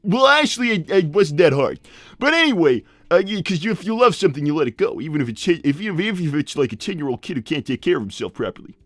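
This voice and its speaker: A man's voice: a little high, very animated, quick pace, a bit bright, no room sound. A man voicing a glib, tactless cartoon animal boss who rambles breezily through improvised live roleplay. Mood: nonchalant. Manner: rambling, blunt, breezy, many digressions.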